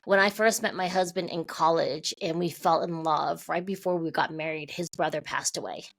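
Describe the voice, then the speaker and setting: Lively woman in her fifties, lowish voice. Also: moderate pace, creaky. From Taiwan. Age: 50s. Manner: animated, laughs often, acts out quoted voices. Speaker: guest. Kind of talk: conversational interview storytelling.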